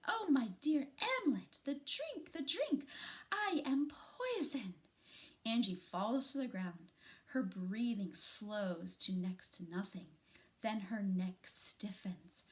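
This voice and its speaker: Expressive, bright-voiced woman reading to children. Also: medium pitch. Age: middle-aged. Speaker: children's story reader, teacher. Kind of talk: read-aloud storytelling for children. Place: small room at home, indoors.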